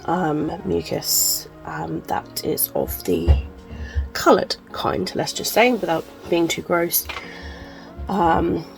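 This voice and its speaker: Woman, chesty, congested, lowish pitch, rambling casual chat. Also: sing-song, slow, gravelly, faint music behind, rough recording. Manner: chatty and rambling, many ums and likes. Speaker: book vlogger. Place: at home.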